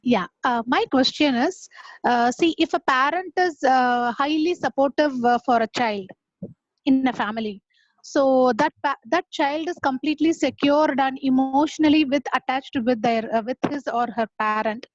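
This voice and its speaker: A woman's voice: high-pitched, moderate pace, no room sound, lo-fi sound. A woman calling in to a webinar to ask a question, hesitant, with many fillers. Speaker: webinar participant asking a question. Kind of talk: question on a call. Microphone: video call.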